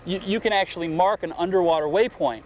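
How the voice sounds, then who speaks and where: A man's voice: high voice, quick pace, darkish tone, slight background noise. A man hosting a boating how-to TV show, upbeat and prompting his guest with questions. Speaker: TV show host. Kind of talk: TV how-to presenting.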